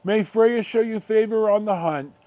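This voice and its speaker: A middle-aged American man hosting a casual storytime, rambling along in halting, unhurried, drawn-out phrases. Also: high voice, warm tone. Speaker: video host.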